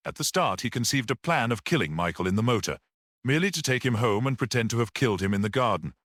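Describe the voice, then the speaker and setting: A man reads as a little priest detective from a classic mystery audiobook. He is composed and philosophical, reasoning in measured phrases with a lively rise and fall, recorded close and dry. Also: mid-range voice, no echo. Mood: reflective, earnest.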